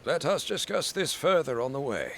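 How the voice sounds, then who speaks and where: A man playing a formal, courteous resistance commander with a deferential, measured manner in a video game. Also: fairly high pitch, expressive, gravelly, slight background noise.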